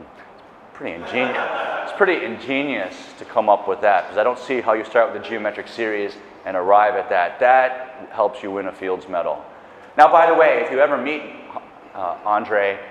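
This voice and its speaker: A man's voice: fairly low pitch, moderate pace, a little hiss or hum. A middle-aged man giving a public math lecture on a lapel mic, enthusiastic and chatty, with asides and self-corrections. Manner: enthusiastic, animated gestures, pacing the stage. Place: lecture hall. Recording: echoey lecture hall, dull sound.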